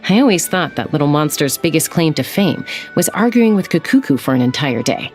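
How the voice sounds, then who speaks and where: Low, lively, skeptical young woman. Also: moderate pace, soft background music. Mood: amused, curious. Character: blunt, skeptical young woman, quick to tease. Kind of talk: video game dialogue.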